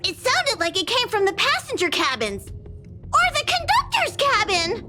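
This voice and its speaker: A tiny, earnest game mascot who works as a train conductor and frightens easily. The voice is extremely high and swoops wildly up and down, spoken slowly over clear background music. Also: female voice, huge pitch swings, exaggerated voice. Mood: earnest, worried. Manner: refers to itself in third person. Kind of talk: video game voice acting. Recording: music behind the voice.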